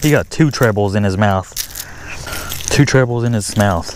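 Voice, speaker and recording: A man's voice: fairly low pitch, normal speed. A man vlogging casually as he fishes from a kayak, exclaiming in slangy bursts, recorded outdoors on a camera he wears. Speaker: fishing vlogger. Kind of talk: casual excited chat while fishing. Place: outdoors on a kayak on an open lake. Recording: loud outdoor boat and water noise. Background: water and boat noise.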